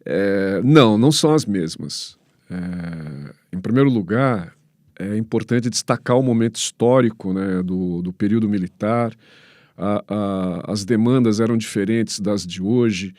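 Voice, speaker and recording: A Brazilian man answering as a professor in an interview, explaining haltingly with repeated words and frequent tag questions. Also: on the low side, darkish tone, dry recording, studio quality. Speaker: professor, panel guest.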